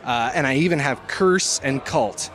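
A man chatting casually as a podcast guest, telling stories in an animated, informal way. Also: medium pitch, a little slow. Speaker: podcast guest, co-host of a film podcast. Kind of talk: casual storytelling chat. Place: on location at a Halloween event.

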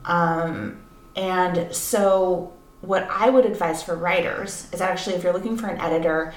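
A woman's voice: on the low side, steady tone, measured pace. A woman co-hosting a casual podcast as an editor, explaining things in a thoughtful way. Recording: echoey room with background noise.

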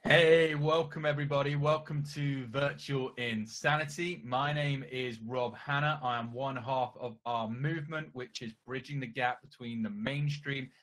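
A man's voice: medium pitch, steady tone, normal speed, few pauses, fairly bright tone. Guy hosting a podcast interview, mid voice, upbeat and polite, keeps it organised. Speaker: podcast host.

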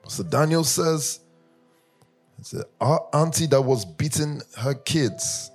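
A man from Nigeria preaching a sermon, telling humorous personal stories in a lively way that rises into sing-song emphasis. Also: on the high side, slow, breathy edge, faint music behind. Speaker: pastor.

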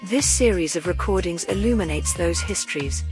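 A woman's voice: fairly low pitch, animated, moderate pace, close to an everyday voice. A woman voicing a composed ship's artificial intelligence, narrating a scripted audio drama series. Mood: composed, reflective. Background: music.

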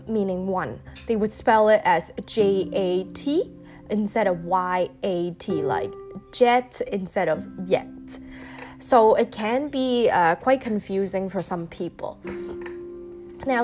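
Chatty woman explaining over faint music. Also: medium pitch. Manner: chatty, many fillers and restarts. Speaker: podcast host. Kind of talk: casual explanatory podcast talk.

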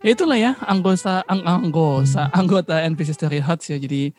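A man with an Indonesian accent and a soft, imperfect R, hosting a casual podcast in a playful, joking, boastful manner. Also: a little high, brisk, soft background music. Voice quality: rhotacism, soft R. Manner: playfully boastful, joking, code-switches into English. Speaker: podcast host.

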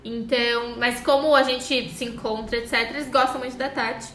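A woman's voice: on the high side, fairly quick, crowd noise. A woman, hosting a casual podcast chat with friends and reading out listener questions. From Florianópolis, Brazil. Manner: lively, reads listener questions. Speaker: podcast host. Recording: echoey room.

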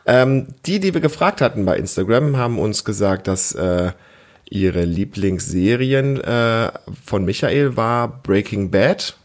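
A man's voice: lowish voice, lively intonation, a little slow. A man in his thirties co-hosting a family podcast as a parent, chatting casually with a child, explaining simply and asking prompting questions. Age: 30s.

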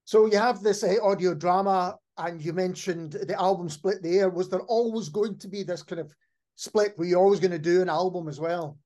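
A man's voice: high voice, steady tone, moderate pace, warm tone. A middle-aged man hosting a conversational podcast interview, reflecting on answers and putting questions to a guest.

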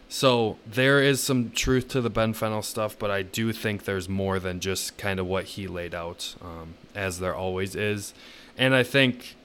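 A man co-hosting a sports podcast, giving measured, opinionated analysis in a casual, explanatory way. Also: lowish voice, a bit bright, slight background noise.

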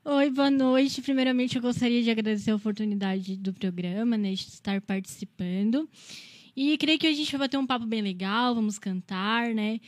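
A young woman from with a noticeably high-pitched voice chats easily as a guest on an interview show. She talks about herself in a relaxed, conversational way, dropping little tag questions as she goes. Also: normal speed. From Criciúma, Santa Catarina, Brazil. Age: 20s. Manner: chatty, frequent tag questions. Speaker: guest singer. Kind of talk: casual interview chat.

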